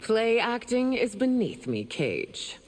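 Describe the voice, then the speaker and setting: A proud warrior queen issues her words with stern, formal authority. Her pitch moves widely in a theatrical game-dialogue style, over faint background ambience. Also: sing-song, slow, background noise. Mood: disdainful. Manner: regal, commanding, formal. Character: imperious middle-aged warrior empress, stern and proud. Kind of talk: dramatic video game dialogue.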